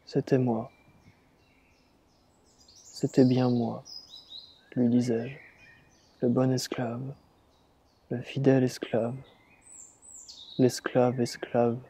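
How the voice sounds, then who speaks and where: A man reciting a dramatic monologue as a dying rebel slave, in grave, deliberate, measured phrases. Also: medium pitch, little pitch movement, mellow, slight background noise. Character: dying rebel slave reliving his revolt, grave and defiant. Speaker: reader performing a dramatic monologue. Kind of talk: slow literary dramatic recitation.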